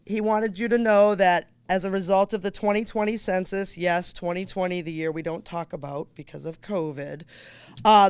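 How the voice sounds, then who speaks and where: A hoarse woman gives a campaign speech on someone's behalf. She talks chattily, breaking off mid-sentence for casual asides, and her voice still comes through smooth and clear. The sound is dry and close. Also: medium pitch, no echo. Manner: chatty, self-interrupting, informal asides. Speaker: campaign surrogate speaker. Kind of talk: stump speech at a candidates night.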